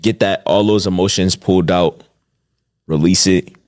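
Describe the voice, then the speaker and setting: A man's voice: sits low, fairly even intonation, moderate pace, a little mellow, no echo, studio quality. A young man co-hosting a casual podcast chat, joking and laid-back. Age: young adult. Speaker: co-host.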